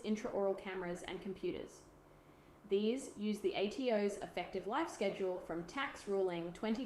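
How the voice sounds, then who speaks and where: A woman reading a scripted explainer in a measured, instructional way, as a presenter. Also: mid-range voice, a little mellow, slight background noise.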